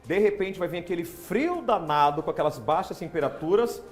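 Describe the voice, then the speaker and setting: A hoarse man with a Brazilian Portuguese accent, hosting a TV health interview in a chatty, playful way in a studio. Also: high voice, animated, brisk. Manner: chatty, asks questions with playful disbelief. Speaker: TV show host.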